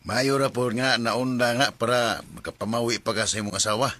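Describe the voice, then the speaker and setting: A man's voice: medium pitch, steady tone, brisk, sharp tone, slight background noise, lightly acted. A man playing a concerned, sensible friend who admonishes earnestly in a Bisaya radio drama, with a Cebuano accent. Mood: earnest, advising. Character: concerned, sensible male friend giving frank advice.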